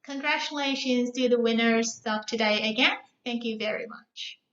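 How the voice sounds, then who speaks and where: In a muffled, echoey room recording, a woman with a fairly high voice gives feedback as a competition judge. She addresses students in an encouraging, instructive way. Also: normal speed, a bit bright.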